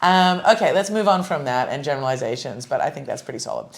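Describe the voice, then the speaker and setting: A woman's voice: rumbling low, expressive, quick pace, vocal fry, a little hiss or hum. A young adult woman hosting a podcast in casual chat, rambling with many fillers and restarts. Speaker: podcast host.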